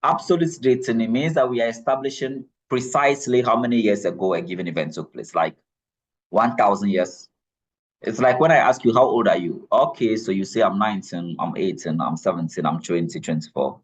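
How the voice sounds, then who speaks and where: Low husky lecturer. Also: male voice, a little fast, rough recording. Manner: repeats key points, checks in with "all right?". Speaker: geology lecturer. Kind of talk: classroom lecture.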